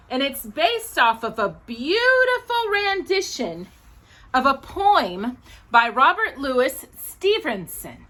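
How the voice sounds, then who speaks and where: A woman hosting a children's storytime, reading aloud in an animated, sing-song manner. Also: high-pitched.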